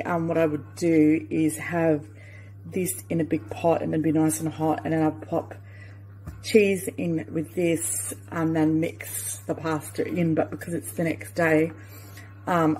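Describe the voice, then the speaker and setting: A woman's voice: low-pitched, poor audio. Chatty woman in a home kitchen. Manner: chatty, trailing fillers, sometimes soft and near-whispered. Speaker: cooking vlogger. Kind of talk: casual cooking commentary. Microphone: handheld phone camera.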